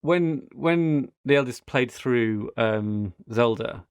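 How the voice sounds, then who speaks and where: A man's voice: mid-range voice, slow, smooth, no room sound, clean studio sound. A middle-aged British man hosting a podcast, chatting casually in a rambling, anecdotal way. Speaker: podcast host. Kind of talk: casual tech podcast chat.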